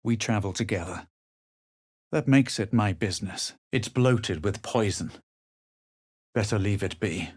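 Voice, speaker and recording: An actor playing a haughty, sardonic male adventurer in a fantasy video game, speaking and narrating with a dry, measured, husky delivery. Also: fairly low pitch, dry recording. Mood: musing.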